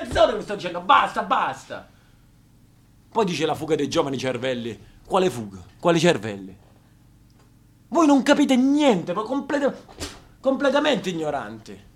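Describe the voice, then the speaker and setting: A man delivering an exasperated comic monologue on a theater stage, swinging from loud rants to hushed, dramatic lines. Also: high-pitched, wildly swooping pitch, moderate pace, a little hiss or hum. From Apulia, Italy. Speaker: stage actor.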